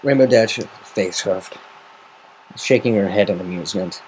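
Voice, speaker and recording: A man's voice: lowish voice, moderate pace, darkish tone, slight background noise, rough recording. A man narrating a story as an amateur semi-dramatic reading. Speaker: amateur fan fiction reader.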